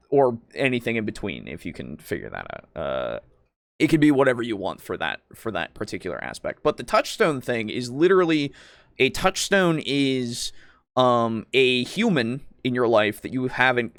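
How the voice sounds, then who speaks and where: Guy podcast host just chatting, medium pitch, rambly and hesitant, kinda sweary, really dry sound. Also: a bit bright, no room sound. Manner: rambling, hesitant, searching for words; casual swearing. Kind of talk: casual tabletop roleplaying discussion.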